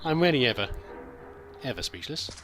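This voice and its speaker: Lively British man chatting over gameplay. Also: mid-range voice, fairly quick, slight background noise. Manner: rambling, self-deprecating, hesitant restarts. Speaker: gameplay commentator. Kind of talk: casual storytelling over gameplay.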